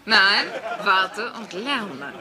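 Sitcom dub: sarcastic, bossy working-class mom, mid-pitched, noisy track. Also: female voice, sing-song, very slow. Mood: dry, coaxing. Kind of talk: dubbed sitcom acting.

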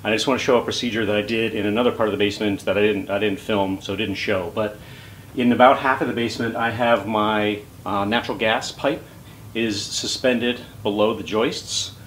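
A middle-aged man explaining a DIY job casually to the camera in an unfinished basement, in a halting, think-aloud way. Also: fairly low pitch, steady tone, a bit bright. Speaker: DIY woodworking video host. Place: unfinished basement room with bare framing, indoors. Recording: clear background noise with clicks.

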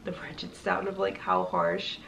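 Young woman, sick and congested, gravelly fry, rambling fillers, slightly distant mic, faint noise. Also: fairly low pitch, level tone, a little slow, fairly bright tone. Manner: chatty, rambling, lots of fillers. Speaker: fashion vlogger. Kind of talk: casual chat to viewers.

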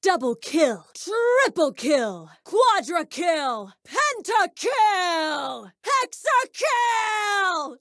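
A dramatic female arena announcer for a video game, with a high, swooping voice. She is commanding and excitable, delivering each short call slowly and with heavy emphasis. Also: no echo, studio quality, full character voice. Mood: rousing, excited. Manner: emphatic, deliberate, declamatory short calls.

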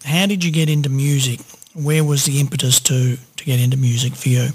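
A man's voice: mid-range voice, fairly even intonation, brisk, breathy edge. A middle-aged or older man with an Australian accent, hosting a casual interview. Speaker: podcast host, interviewer.

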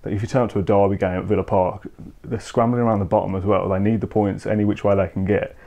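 Young man, quick and mellow. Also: fairly low pitch, flat delivery, gravelly, muffled recording. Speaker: football podcast co-host. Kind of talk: casual post-match football chat. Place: home room, indoors. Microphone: video call with earphone mic.

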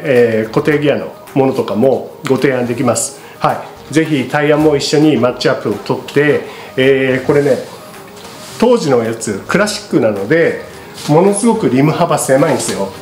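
A middle-aged man presents to camera in an informal, courteous, explanatory manner. His voice sits at an average pitch. The room adds clear echo, and music plays faintly behind him. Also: expressive, moderate pace. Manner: polite, explanatory, unscripted. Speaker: bicycle shop presenter. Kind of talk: casual product explanation to camera. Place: small indoor studio with paper backdrop.

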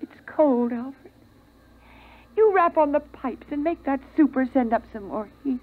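Distraught, wistful mother, high voice, thin tinny vintage radio-play sound. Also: expressive, a little slow. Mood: plaintive, longing. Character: fragile, distraught mother lost in wistful memories. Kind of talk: 1950s radio drama acting. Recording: tinny 1950s radio recording.

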